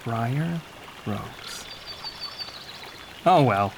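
A man's voice: medium pitch, animated, very slow, gravelly, a little hiss or hum, exaggerated voice. A man playing an earnest young prince. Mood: wistful. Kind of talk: audio drama acting.

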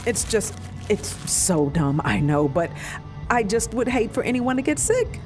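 Woman playing anxious, careful young woman, lively expressive voice, fussy and apologetic. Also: mid-range voice, measured pace, music in the background. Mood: worried. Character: anxious, conscientious young woman, former café manager. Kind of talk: audio drama acting.

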